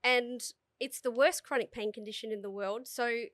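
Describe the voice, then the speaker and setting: A woman's voice: fairly high pitch, steady tone, normal speed, a bit bright, no echo, clean studio sound. A woman from Australia, a stage performer, chatting openly and swearing freely as a podcast guest. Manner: frank, swears freely, laughs often. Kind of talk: casual interview chat.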